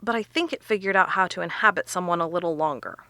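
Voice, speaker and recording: Sharp researcher lady, bright voice, explains stuff with punch, audio drama, really dry sound. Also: on the low side, fast, dry recording. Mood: explaining, intent. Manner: emphatic, explanatory.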